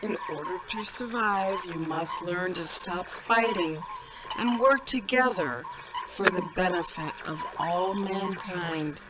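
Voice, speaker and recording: Woman acting as slow, solemn ancient cosmic mother. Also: fairly low pitch, expressive. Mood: solemn, grave. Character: ancient motherly cosmic being, grave and watchful over humanity. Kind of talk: radio drama acting. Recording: very noisy radio transmission, ambient sound.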